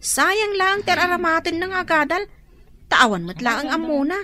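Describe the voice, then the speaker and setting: A woman's voice: very high-pitched, animated, normal speed, sharp tone, full character voice. An actress playing a lazy young female student who complains and avoids farm work in a radio drama. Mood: whiny.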